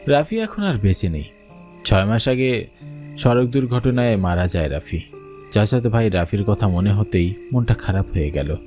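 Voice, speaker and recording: A man's voice: lowish voice, lively intonation, moderate pace, darkish tone, soft background music, lightly acted. A young man playing a grown son who tells his own eerie village ghost story in dramatic, suspenseful scripted narration and dialogue. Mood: wistful, uneasy.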